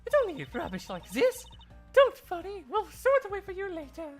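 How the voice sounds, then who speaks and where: Very high, breezy game character. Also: male voice, huge pitch swings, normal speed, soft background music, exaggerated voice.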